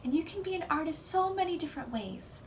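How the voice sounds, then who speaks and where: A woman reading a picture book aloud to children in an expressive, emphatic and encouraging way. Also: very high voice, fast. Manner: emphatic, encouraging, addressing young viewers. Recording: distant mic, faint background noise.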